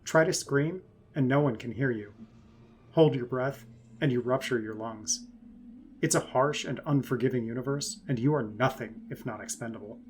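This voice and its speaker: A man's voice: medium pitch, normal speed, darkish tone, slight background noise. Ominous, grave male narrator. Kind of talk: dramatic scripted intro narration.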